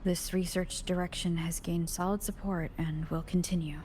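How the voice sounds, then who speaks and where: Low-voiced, composed actress as analytical young woman. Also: fairly even intonation, normal speed, darkish tone, slight background noise, natural voice. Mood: clinical, detached. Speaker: video game voice actor. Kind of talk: scripted game dialogue and log narration.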